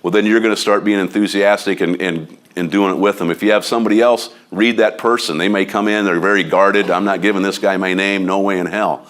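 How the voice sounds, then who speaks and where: A man with a Midwestern American accent giving a casual training talk to real estate agents, loud, blunt and a bit overbearing. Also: deep voice, fairly even intonation, fairly quick, few pauses. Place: office meeting room.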